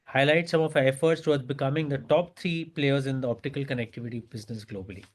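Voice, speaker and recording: Male corporate presenter, Indian accent, medium pitch, formal scripted earnings-call delivery. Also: quick pace. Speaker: company executive presenter.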